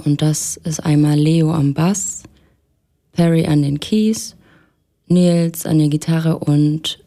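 A young woman from Erfurt speaks with a low, mellow voice in a radio studio. She is a musician giving an interview, talking in a relaxed, unhurried way with frequent fillers and small restarts. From Erfurt, Germany. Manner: relaxed, hesitant with many fillers. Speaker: musician guest.

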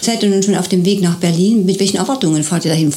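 A woman's voice: fairly low pitch, very fast, dark tone. A woman who reports for a local radio station, interviewing a guest in a friendly, encouraging way and then presenting a short piece.

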